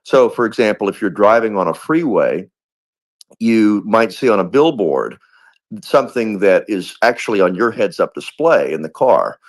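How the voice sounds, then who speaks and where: A man's voice: fairly low pitch, big pitch swings, normal speed. A man in casual chat in a live audio room, talking in rambling bursts with many restarts. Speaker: guest speaker in a live audio space.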